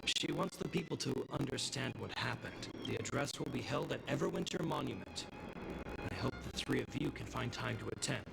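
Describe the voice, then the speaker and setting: Gravelly, courteous guard officer. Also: male voice, mid-range voice, a little fast, very noisy. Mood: dutiful, earnest. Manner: polite, formal, earnest. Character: courteous, apologetic male guard officer, dutiful and sincere. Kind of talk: scripted fantasy game dialogue. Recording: game audio with loud music underneath. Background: prominent game soundtrack music.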